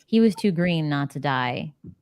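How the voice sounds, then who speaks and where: Young woman, lowish pitch, casual conversational book talk, home video call. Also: expressive, normal speed, darkish tone, clear, resonant tone, no room sound. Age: young adult. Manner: informal, conversational.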